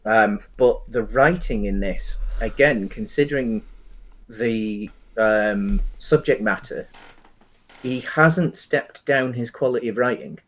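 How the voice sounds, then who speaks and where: A man co-hosting a casual film discussion, speaking in a hesitant, halting way and qualifying his opinions as he goes. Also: on the low side, rough recording. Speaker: co-host of a video game film discussion show.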